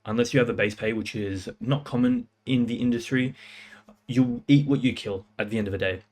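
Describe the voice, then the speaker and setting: A man's voice: mid-range voice, brisk, darkish tone. A young man giving casual, confident sales-career advice in a punchy, slangy way. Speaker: music producer and sales coach. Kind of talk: casual talking-head advice.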